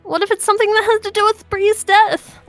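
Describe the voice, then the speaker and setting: A woman's voice: very high voice, steady tone, fairly quick, fairly bright tone, dry recording. A woman playing an earnest, loyal shapeshifting guild member in improvised game roleplay, often questioning. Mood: concerned. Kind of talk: improvised Minecraft roleplay acting. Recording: dry voice with background music.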